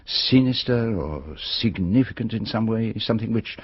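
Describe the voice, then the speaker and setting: A polite, probing foreign detective of mature years, formal and precise in a radio mystery. His voice is husky and swings widely in pitch, with a slightly muffled lo-fi recording. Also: animated, normal speed, no room sound. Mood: inquisitive, calm. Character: courteous, probing, elderly male foreign detective. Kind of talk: radio mystery drama acting. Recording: dull, lo-fi radio drama recording.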